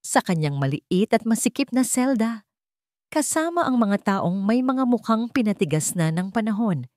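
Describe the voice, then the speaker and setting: A woman with a Filipino accent reads a scripted dramatic story in measured, short phrases. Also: a little high, big pitch swings, a little mellow, dry recording, clean studio sound.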